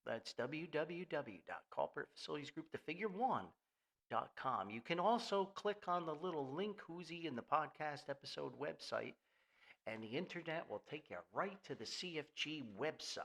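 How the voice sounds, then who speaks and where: Animated older New man, bright voice. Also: fairly high pitch, moderate pace, creaky. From New Jersey, United States. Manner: folksy, jokey, rambling, theatrical emphasis. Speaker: podcast host. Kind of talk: informal solo podcast monologue with sponsor reads.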